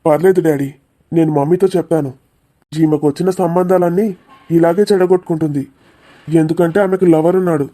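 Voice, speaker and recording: A man's voice: fairly high pitch, steady tone, fairly quick, pauses often, mellow, natural voice. A man voicing an indignant, defensive young man arguing earnestly in a cartoon comedy. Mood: accusing. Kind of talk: dramatic cartoon comedy dialogue.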